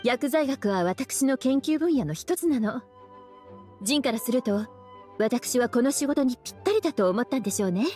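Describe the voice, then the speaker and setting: A woman's voice: on the high side, expressive, normal speed, warm tone, faint music behind, lightly acted. A woman voicing a sultry teasing witch librarian. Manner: languid, teasing, calls herself big sister. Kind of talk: Japanese game dub.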